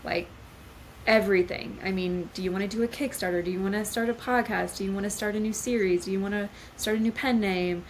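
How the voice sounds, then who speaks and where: A woman's voice: medium pitch, fairly even intonation, fast, slight background noise, poor audio. A woman guest author chatting casually on a podcast, using lots of fillers and laughing often.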